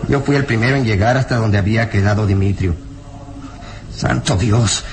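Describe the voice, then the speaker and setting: An actor playing a burly, frightened and bitter peasant villager in a melodramatic radio drama, anguished, emphatic and halting. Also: male voice, lowish voice, noisy background, lightly acted. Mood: horrified.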